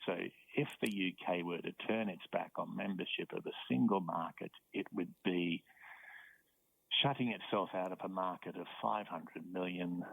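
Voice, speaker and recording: A man with an Australian accent, a university professor giving measured explanations as a guest in a radio interview, often repeating words as he starts a point. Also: sits low, fairly even intonation, vocal fry, slightly rough, narrow, tinny audio.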